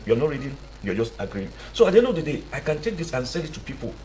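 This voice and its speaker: A man's voice: medium pitch, sing-song, rapid-fire, warm tone, slight background noise, rough recording. A Nigerian man explaining things as an expert guest in a radio interview, speaking emphatically with frequent restarts and repetition. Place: radio studio.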